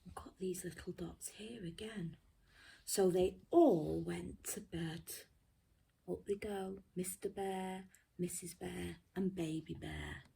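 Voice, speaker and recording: A woman teacher reading a children's story aloud expressively, often dropping to a hushed near-whisper and asking her young listeners questions. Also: fairly low pitch, steady tone, slow, gravelly, a little hiss or hum.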